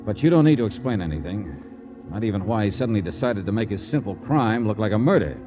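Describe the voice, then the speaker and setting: A man playing a tough, sharp-tongued private detective in an old American radio drama, needling and insistent. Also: lowish voice, animated, fast talker, over music, lightly acted. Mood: calmer, explaining. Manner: hard-boiled, needling, insistent. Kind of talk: 1940s-50s American radio drama acting. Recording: vintage radio drama recording.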